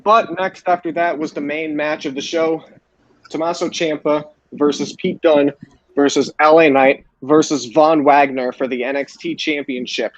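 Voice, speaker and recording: A man with a slightly high voice hosts a casual sports recap. He talks haltingly and repeats his phrases. The sound is dull and lo-fi, like an online voice call. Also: steady tone. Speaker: podcast host. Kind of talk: casual wrestling show recap. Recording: roomy, dull lo-fi voice chat.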